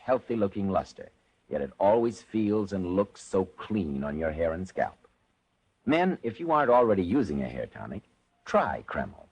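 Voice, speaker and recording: An American man with a slightly low, husky voice works as a 1940s radio announcer. His delivery is polished and salesmanlike, with big, animated swings of emphasis. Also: rough recording. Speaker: radio announcer and program host.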